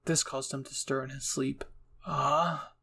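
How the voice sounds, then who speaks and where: A man's voice: mid-range voice, monotone, moderate pace, gravelly, a bit bright, husky. A man reading scripted fan-fiction narration as a storyteller and channel host.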